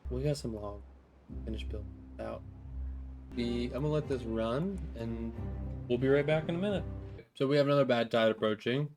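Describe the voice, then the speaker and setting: A man's voice: mid-range voice, moderate pace. A man hosting a let's play, talking himself through each move in the game and speaking to his viewers in a friendly, direct way. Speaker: gaming channel host. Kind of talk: let's play gameplay commentary. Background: background music.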